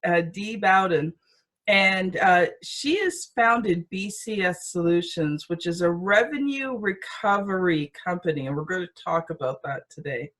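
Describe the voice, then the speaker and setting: A woman's voice: on the low side, a little slow, fairly bright tone. A woman speaking as a podcast host, introducing the show and chatting with a guest. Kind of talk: podcast introduction and interview chat.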